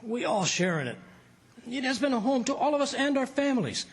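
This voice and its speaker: A man playing a humble, loyal plantation foreman in a radio drama, husky, hesitant and deferential. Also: very high voice, very animated, a little fast, exaggerated voice. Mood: anxious, deferential.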